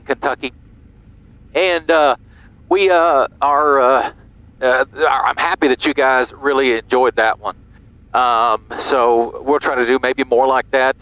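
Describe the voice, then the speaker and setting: A man's voice: medium pitch, a bit bright, poor audio. A middle-aged American man speaking casually as a pilot and vlogger into a headset mic in a small airplane cockpit. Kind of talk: casual cockpit vlog and radio calls. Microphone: aviation headset boom mic.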